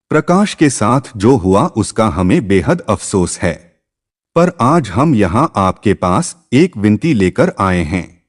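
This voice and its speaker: A man's voice: lowish voice, exaggerated voice. A man voicing a worried father in a moral story, earnest, apologetic and insistent. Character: worried father-in-law urging his daughter to come home. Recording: long reverb.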